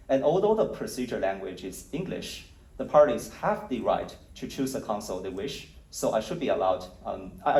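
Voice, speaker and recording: A man presenting survey results at a conference, speaking in a measured, explanatory way with occasional fillers. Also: lowish voice, a little mellow. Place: conference hall. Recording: roomy hall audio, mic at a distance.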